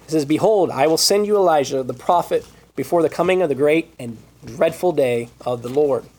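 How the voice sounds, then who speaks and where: Slightly high-voiced man preaching scripture. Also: a little fast, darkish tone. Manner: reads scripture aloud, rhetorical questions. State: losing his voice. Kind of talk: Bible study sermon.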